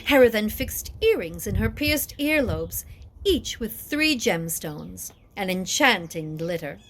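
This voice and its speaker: A woman reading epic poetry aloud as dramatic narration, with deliberate, expressive phrasing. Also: medium pitch. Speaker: reader of an epic poem.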